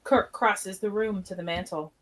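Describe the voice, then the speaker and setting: A woman's voice: medium pitch, steady tone, moderate pace. A woman reading stage directions as narrator in a plain, matter-of-fact way. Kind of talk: scripted narration of a live script read.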